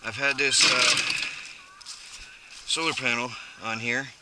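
A rambling, chatty man talks through his chores while filming himself outdoors. His voice sits at an ordinary middle pitch. The head-mounted camera picks up clear background noise. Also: fairly even intonation, slow pace. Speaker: homesteading vlogger. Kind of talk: casual first-person narration while working. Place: outdoors on a rural homestead. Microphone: head-mounted action camera.

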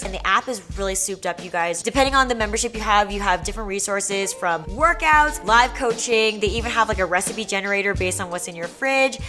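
A woman's voice: medium pitch, a bit bright. A young woman vlogger giving an upbeat, chatty tour of her remodeled kitchen, talking straight to viewers. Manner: upbeat, chatty, addresses viewers as "you guys". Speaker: home and lifestyle vlogger. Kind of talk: casual home tour with sponsored segment. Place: home kitchen, indoors.

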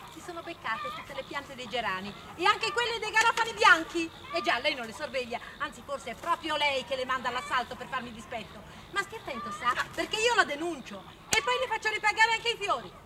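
A woman's voice: very high voice, lively intonation, brisk. An actress playing an indignant, quarrelsome lady neighbour in a vintage television comedy, scolding theatrically. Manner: scolding, theatrical, sharp-tongued. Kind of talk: 1960s television comedy-drama acting. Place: outdoor garden. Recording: noisy vintage outdoor television soundtrack.

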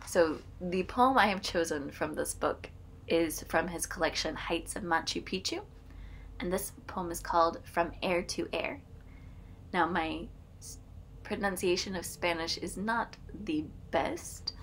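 Gravelly-voiced Midwestern woman. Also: on the low side, a little slow, fairly bright tone, slight background noise. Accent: American Midwestern. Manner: chatty, reads the poem aloud with care. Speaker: channel host. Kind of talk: casual vlog with a poetry reading. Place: home room with bookshelves, indoors.

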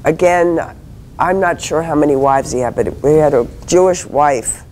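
A woman panelist at an academic conference, answering haltingly with many fillers and restarts. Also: deep voice, a little mellow, slight background noise. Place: hotel conference room.

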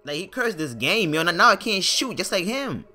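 A man's voice: high voice, sing-song, moderate pace, pushed and bright. A man doing casual, slangy, profane trash-talking commentary over a video game. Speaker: gamer voicing parody commentary. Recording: music behind the voice.

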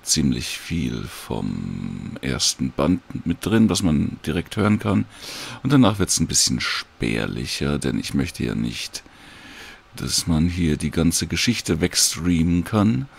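A man casually and hesitantly talks viewers through a screen recording in an informal, unhurried tutorial. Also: extremely low pitch, very animated, vocal fry, husky. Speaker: audiobook creator giving a download tutorial.

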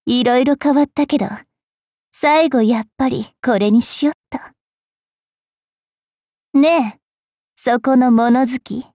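A haughty young fairy knight from an anime game. She speaks slowly in a fairly high, soft-toned voice, teasing and imperious, in a dry close recording. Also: no room sound. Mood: teasing, casual. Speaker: voice actress. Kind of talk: anime mobile game character voice lines.

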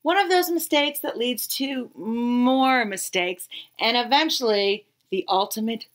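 Slow, expressive woman storyteller, slightly high voice. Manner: dramatic pauses and emphasis. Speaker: read-aloud storyteller. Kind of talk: expressive picture book narration for children.

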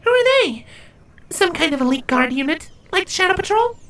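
A man's voice: very high voice, very animated, moderate pace, sharp tone, voice pushed up, heavily acted. A man voicing a curious young female changeling on patrol, inquisitive, in a fantasy story reading.

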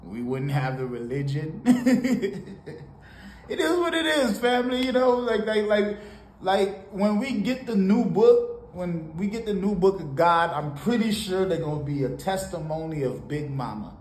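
A man from Haiti giving casual, animated reaction commentary on a song in a small home studio, talking to the camera. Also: sits high, unhurried. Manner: animated, preachy, sprinkled with laughs and "you know". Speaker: music reaction host. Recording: roomy home studio with faint noise.

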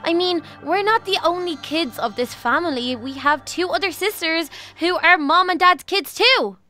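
A woman's voice: very high voice, normal speed, pushed and bright. A woman playing an earnest, bossy young princess sister in a children's game roleplay. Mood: anxious, urging. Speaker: roleplay channel performer.

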